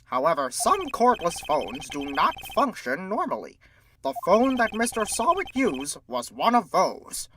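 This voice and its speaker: A woman's voice: on the low side, sing-song, measured pace, a bit bright, distant mic, a little hiss or hum. A woman voicing a smug, pompous male prosecutor with formal, needling delivery in a courtroom game fandub. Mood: formal, assured.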